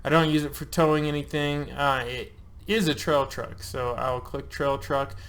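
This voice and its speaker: A man's voice: mid-range voice, fairly even intonation, normal speed, a bit bright. Chatty man in his thirties walking through a form. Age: 30s. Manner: loose, chatty, joking, with frequent ums and uhs. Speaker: channel host. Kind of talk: casual screen-share walkthrough.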